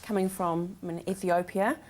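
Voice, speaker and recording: A woman's voice: on the low side, steady tone, normal speed, darkish tone. Woman, New Zealand accent, TV host, upbeat, encouraging. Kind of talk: local TV interview show.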